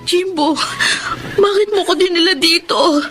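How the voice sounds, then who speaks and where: An actress playing a frightened but defiant young Filipino student, pleading desperately in a radio drama. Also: female voice, very high-pitched, measured pace, fairly bright tone, faint music behind. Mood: anxious. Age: young adult.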